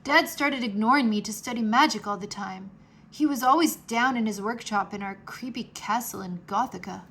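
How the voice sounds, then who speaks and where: Storybook boy wizard, played by a woman: earnest, wistful but determined, fairly high voice, hurried delivery. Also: fairly bright tone, a little hiss or hum. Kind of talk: children's storybook character voice.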